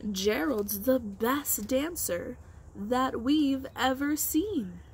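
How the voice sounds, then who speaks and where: A woman voicing a crowd of blunt, excitable jungle animals in a children's storybook. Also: sits high, extremely slow, slightly rough, pitched above the performer's own voice, full character voice, rough recording. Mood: amazed.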